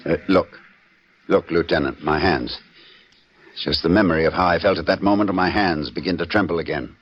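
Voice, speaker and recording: Troubled husband in vintage radio drama. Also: male voice, low-pitched, breathy edge. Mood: shaken, reflective. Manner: theatrical, halting with repeated words. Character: troubled husband, stage performer, confessing to police, darkly wry. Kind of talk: old-time radio drama acting, narrating a confession. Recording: dull vintage radio recording with music.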